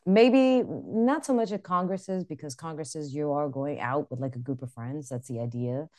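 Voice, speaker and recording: American woman, low voice, chatty podcast co-host, animated. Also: normal speed. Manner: chatty, animated storytelling with frequent laughs. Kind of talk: casual chat.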